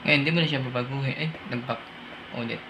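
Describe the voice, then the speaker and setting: A man's voice: mid-range voice, fairly even intonation, fast talker. A Filipino man presenting an informal hands-on tech tutorial, thinking aloud as he goes step by step. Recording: noisy amateur room recording.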